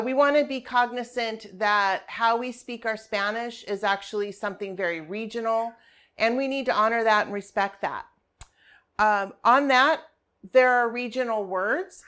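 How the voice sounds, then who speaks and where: A woman teaching high school Spanish, walking parents and students through her class website in a friendly, explanatory way with frequent ums. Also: fairly bright tone. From family from Colombia. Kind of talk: screen-share class walkthrough.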